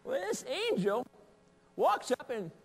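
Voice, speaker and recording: Folksy old shepherd, high voice swooping widely, sassy and excitable, comic stage monologue in an echoing hall. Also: male voice, unhurried, faint music behind, full character voice. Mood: teasing, folksy. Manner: rambling, playful asides, scolding and chuckling. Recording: long echoing hall reverb.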